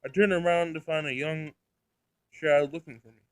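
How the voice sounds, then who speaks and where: Male game host, slightly high-pitched, reading a visual novel aloud with casual, exclamatory commentary; very dry recording. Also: fairly even intonation, normal speed, smooth, no echo. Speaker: gaming video host. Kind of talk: visual novel read-along with casual reactions.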